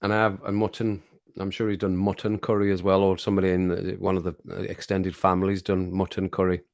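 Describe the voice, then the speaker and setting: A man hosting a casual livestream, his voice a bit croaky from singing, chatting to viewers at home and laughing often. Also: low-pitched, completely flat delivery, fast. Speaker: livestream host reading chat. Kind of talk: casual chat to a live audience. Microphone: home streaming mic with compressor.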